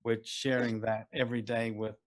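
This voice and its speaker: A man from a visualization studio describing his team's workflow briskly on a panel. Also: mid-range voice, flat delivery. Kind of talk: casual video call panel.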